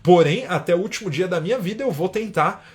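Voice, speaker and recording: Fast, emphatic Brazilian man teaching. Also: high voice, animated. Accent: Brazilian Portuguese. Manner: emphatic, frequent tag questions. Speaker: English teacher. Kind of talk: live stream lesson.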